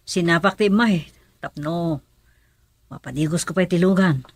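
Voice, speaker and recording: Low, husky, brooding investigator. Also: female voice, moderate pace, no echo. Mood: casual. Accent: Ilocano. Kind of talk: Ilocano radio drama acting with musing monologue.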